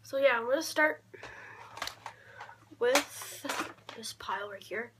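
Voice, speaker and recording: A young woman talking casually in a low-key show-and-tell vlog, often trailing off, recorded on a camera mic in a bedroom. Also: slow pace. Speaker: vlogger showing a collection. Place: small cluttered bedroom, indoors. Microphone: camera mic at arm's length. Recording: clear background noise, handling clicks.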